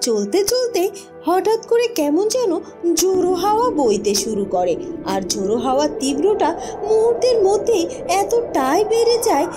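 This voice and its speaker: A woman narrates a cartoon story in a high voice. The delivery is scripted storytelling, set over background music. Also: big pitch swings, normal speed.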